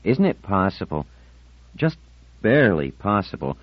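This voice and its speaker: Man playing a breezy teenage boy, lifted pitch. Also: big pitch swings, measured pace, dry recording, dull audio, heavily acted. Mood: amused, skeptical. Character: cheerful, teasing teenage boy, nearly grown up.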